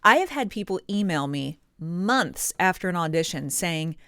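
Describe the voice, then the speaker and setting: Lower-voiced woman coaching in a dry studio. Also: sing-song, normal speed, pushed and bright, dry recording. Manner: encouraging, explanatory, emphatic on key points. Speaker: voice actor giving career advice as video host. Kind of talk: direct-to-viewer coaching talk. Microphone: close studio condenser microphone.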